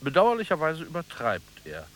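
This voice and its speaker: An actor in a German radio play playing a pompous, glib doctor who lectures and reassures as a rational analyst. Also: male voice, a little high, huge pitch swings, normal speed, a bit bright, slight background noise. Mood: pedantic, scornful.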